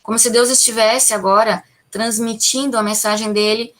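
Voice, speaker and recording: A woman's voice: fairly high pitch, fairly even intonation, normal speed, fairly bright tone. A Brazilian woman teaching a classroom Bible lesson, explaining patiently, asking the class questions and answering them herself, tagging sentences with little checks. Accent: Brazilian Portuguese. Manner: peppers sentences with "tá?" and "né?".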